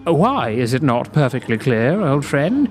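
An actor playing an unctuous, coolly zealous fiend with formal, deliberate, politely oily speech. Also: male voice, mid-range voice, very animated, faint music behind. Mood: oily, eager. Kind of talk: audio drama acting.

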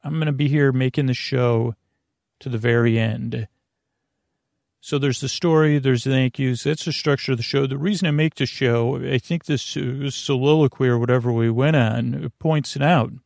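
A man with a creaky voice hosting a sleep podcast, giving a meandering, halting, soothing bedtime monologue that stammers and drifts off into tangents. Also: medium pitch, fairly even intonation, a little mellow, no room sound, studio quality.

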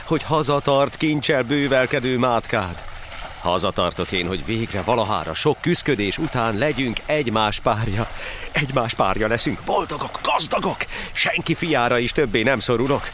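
Man playing an ardent young adventurer, lively swooping delivery. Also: mid-range voice, slight background noise, voice pushed up, close to an everyday voice. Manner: ardent, theatrical. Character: lovestruck young adventurer sailing home, hopeful and forgiving. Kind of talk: dramatized poetic monologue in an audio play.

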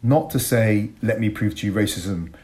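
A man's voice: lowish voice, moderate pace, dark tone. A man in his forties from Bristol with an English accent, speaking as an interview guest in an articulate, emphatic way, making reflective arguments. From Bristol, England. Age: 40s.

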